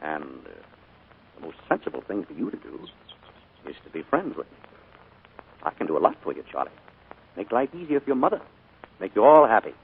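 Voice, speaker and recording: A man's voice: fairly low pitch, wildly swooping pitch, brisk, long pauses, a little hiss or hum. A man playing a charming but sinister middle-aged uncle in a 1940s radio drama, his coaxing voice carrying a menacing undertone. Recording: narrow 1940s radio broadcast.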